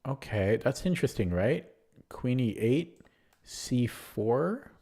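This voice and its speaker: A man's voice: mid-range voice, big pitch swings, slow pace, mellow, breathy edge. A man streaming online chess, thinking aloud through his moves with a hesitant, self-deprecating manner and frequent fillers. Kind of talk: live game commentary.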